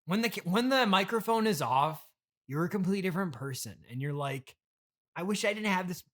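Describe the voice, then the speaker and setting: A man plays a trillionaire's entitled son on an improvised comedy podcast. The voice sits a little high and sounds bright and sharp. He is whiny and blunt, making demands as if owed everything. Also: animated, fairly quick. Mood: mocking. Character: spoiled, entitled son of a trillionaire CEO, a streamer.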